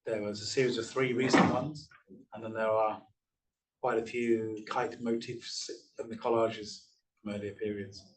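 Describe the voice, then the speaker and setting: A man's voice: fairly low pitch, level tone, moderate pace, distant mic, low-quality recording. A man speaking on an art panel, hesitant with frequent restarts and fillers. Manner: hesitant, many restarts and ums. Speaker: panel speaker from the artist's studio. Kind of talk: discussion panel remarks.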